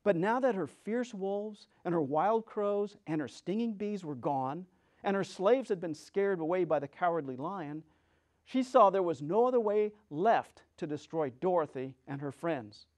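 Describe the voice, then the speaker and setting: A man reading a children's story aloud as a storyteller, in a theatrical, deliberate way. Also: high voice, lively intonation, darkish tone.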